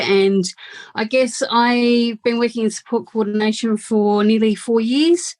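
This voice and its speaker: A woman talks as a podcast guest in a medium-pitched voice. Her delivery is conversational, in the manner of a personal introduction. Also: darkish tone. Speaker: podcast guest, support coordinator.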